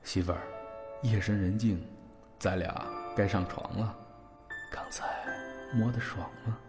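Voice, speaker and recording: Guy doing a teasing husband, very low voice, audio drama, music under it, flirty. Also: fairly even intonation, measured pace, long reverb tail, lightly acted.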